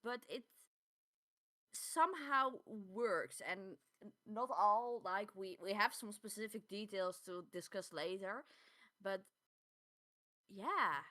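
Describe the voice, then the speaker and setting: A woman's voice: mid-range voice, slow, long pauses, pushed and bright. A native Dutch woman speaking English in a casual discussion as a podcast co-host.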